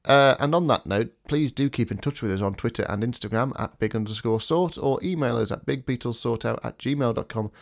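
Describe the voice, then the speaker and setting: A man's voice: medium pitch, fairly quick, creaky, hardly any pauses. A man hosting a casual podcast with a blocked nose from a cold, chatty and self-deprecating. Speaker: podcast host. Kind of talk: casual podcast chat with a scripted intro.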